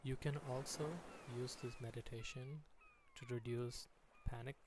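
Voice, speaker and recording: A man leading a guided meditation in a slow, soothing manner, pausing between short phrases. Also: medium pitch, wildly swooping pitch, background noise.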